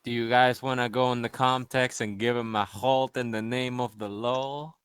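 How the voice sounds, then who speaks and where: A man's voice: medium pitch, flat delivery, moderate pace, a bit bright, smooth, dry recording. A man playing a tabletop role-playing game and talking casually with the other players.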